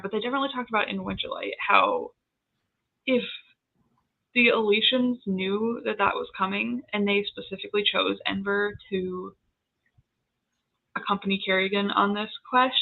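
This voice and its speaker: A woman's voice: mid-range voice, vocal fry, phone-quality sound. A woman co-hosting a casual fan chat about fantasy novels, heard through thin call audio. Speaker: co-host of a book discussion.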